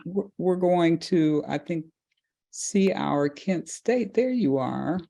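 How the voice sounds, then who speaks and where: A woman with a distinctly low-pitched voice hosts a virtual interview. She is conversational and encouraging, offering short affirmations and simple prompts to her guest. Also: slow pace, gravelly, warm tone. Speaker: interview host.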